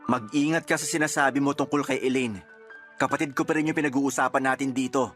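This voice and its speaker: A man's voice: medium pitch, steady tone, quick pace, fairly bright tone, soft background music, close to an everyday voice. A man playing a reproachful, protective boyfriend in a Filipino radio drama, earnest and reproving, with a Filipino accent. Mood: stern, defensive. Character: reproachful boyfriend, protective of his younger sister.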